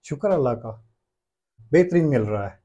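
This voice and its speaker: A man's voice: on the high side, animated, moderate pace, dark tone. A man in his thirties with a Pakistani accent, answering interview questions as a small business owner. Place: small brick-walled workshop room indoors.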